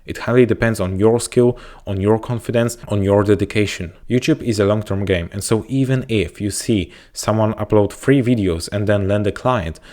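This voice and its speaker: A man's voice: fairly low pitch, normal speed, hardly any pauses, a little mellow. A man from Poland with a Polish accent, speaking English as a second language while coaching viewers to camera in an earnest, repetitive, motivational way. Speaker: YouTube growth coach. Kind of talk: direct-to-camera advice talk. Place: indoors, talking to camera.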